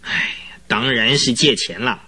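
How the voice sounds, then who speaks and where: An actor playing an agitated, talkative male client who recounts eerie events in a halting, rambling way in a radio drama, with a husky voice. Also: medium pitch, bright, forceful tone, full character voice. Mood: eager, uneasy. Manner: rambling, halting, full of interjections. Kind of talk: Chinese radio drama acting.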